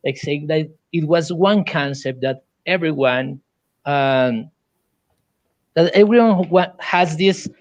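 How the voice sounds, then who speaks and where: Male moderator, Colombian Spanish accent, higher register, halting delivery, dry recording. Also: dry recording. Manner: halting second-language English. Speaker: panel moderator, science fiction editor. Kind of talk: hosting an online panel discussion.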